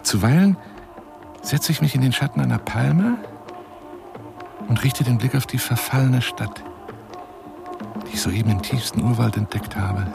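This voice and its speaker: A man's voice: medium pitch, moderate pace, vocal fry, pauses often, over music. An actor playing a boastful, lonely male adventurer who courts a woman in florid, courtly speech in a German radio play monologue. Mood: dreamy, courtly. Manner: florid, courtly, insistent, swinging between whisper and exclamation.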